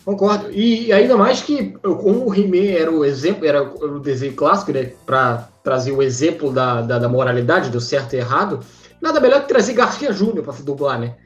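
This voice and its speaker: A man's voice: fairly high pitch, expressive, a little fast, faint music behind. A man with a Brazilian Portuguese accent, co-hosting a casual chat and sharing trivia with lots of fillers. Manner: chatty, shares trivia with frequent fillers. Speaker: podcast co-host. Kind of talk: casual chat about cartoons. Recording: roomy, muffled mic.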